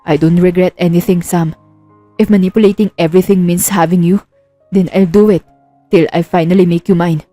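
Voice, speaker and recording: A woman voicing an intense, possessive, wealthy young man who speaks deliberately in a romantic audio drama. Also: lowish voice, fairly even intonation, mellow, no echo, soft background music, lightly acted. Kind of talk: dramatized audio story dialogue.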